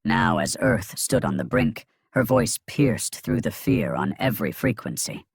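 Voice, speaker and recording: A man's voice: lowish voice, wildly swooping pitch, a little slow, gravelly, breathy edge, no echo, studio quality. A man narrating a dramatic science fiction story in a grave, ominous manner with short, emphatic phrases.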